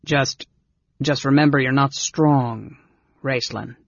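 A performer voicing a big, gentle, slow-witted male warrior with an awkward, hesitant, good-natured manner, in a fantasy story. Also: medium pitch, steady tone, gravelly, dry recording, muffled recording. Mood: puzzled, hesitant.

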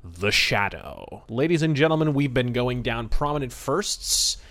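A man's voice: mid-range voice, very animated, a little slow, a bit bright. A man hosting a podcast, giving commentary in an emphatic, theatrical manner with florid wording. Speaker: podcast host. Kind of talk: podcast commentary, semi-scripted talk.

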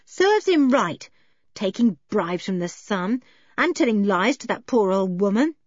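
Adventurous storybook boy, read by a woman: chatty, eager, animated, slightly lo-fi. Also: medium pitch, measured pace, no echo. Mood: scornful, excited.

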